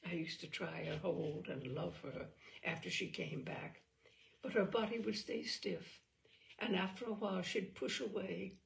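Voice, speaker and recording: A woman reading a short story aloud in an expressive, measured, dramatic storytelling manner. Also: on the low side, creaky. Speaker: reader of short fiction. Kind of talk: expressive literary narration read aloud.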